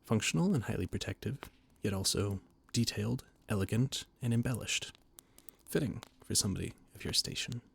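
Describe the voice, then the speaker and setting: A man's voice: fairly low pitch, lively intonation, fairly quick, creaky, breathy edge. A man voice-acting a flustered, formal royal adviser in an audio roleplay, often stammering. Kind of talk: audio roleplay, scripted monologue.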